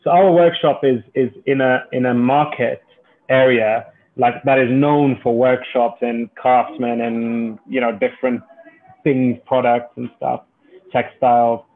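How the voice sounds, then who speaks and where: A man from Kenya giving earnest, halting, conversational interview answers as an entrepreneur and guest. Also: medium pitch, fairly even intonation. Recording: thin phone-like call audio.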